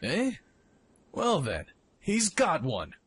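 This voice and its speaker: Man playing a brash, animated young pilot. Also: fairly high pitch, extremely slow, exaggerated voice. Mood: brash, irritated. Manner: brash, cocky, exclamatory. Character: Bart, brash excitable young male mech pilot. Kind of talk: fan radio-play acting. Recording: clearly noisy background ambience.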